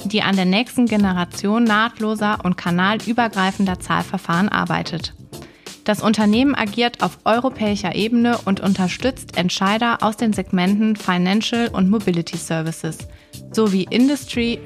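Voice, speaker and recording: A woman hosting an interview podcast, introducing and questioning a guest. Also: mid-range voice. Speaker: podcast host.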